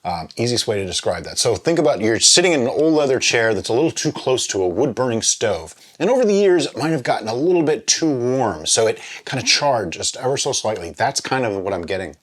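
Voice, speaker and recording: A man hosting a drinks review vlog, chatting casually and explaining as he muses aloud, with pauses and little check-ins. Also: medium pitch, sing-song. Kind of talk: casual chat, tasting review.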